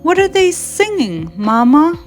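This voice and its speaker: A woman's voice: sits high, big pitch swings, unhurried, soft background music, voice pushed up. A woman voicing a curious, innocent little bear cub with a childlike, questioning way of talking in a storybook.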